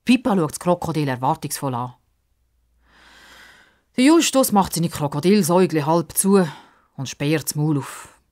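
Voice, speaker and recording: A woman's voice: low-pitched, lively intonation, normal speed, long pauses, darkish tone. A woman with a Swiss German accent narrating a scripted story for children as a storyteller.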